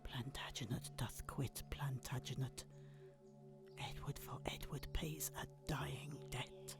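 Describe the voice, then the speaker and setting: A woman's voice: extremely low pitch, fairly even intonation, slow, faint music behind, heavily acted. A woman playing a bitter, vengeful old deposed queen in an audio drama, moving from hissed whispers to fierce curses. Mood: whispering bitterly. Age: older. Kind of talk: Shakespearean audio drama acting.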